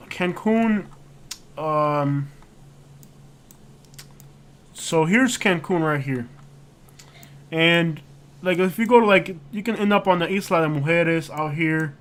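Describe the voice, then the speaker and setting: A man's voice: fairly high pitch, a bit bright. A man hosting a casual livestream, rambling and chatting loosely with lots of filler words while he browses and reacts. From United States. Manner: rambling, heavy on fillers like "like" and "you know". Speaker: livestream host. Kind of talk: casual live-stream chat while browsing street views.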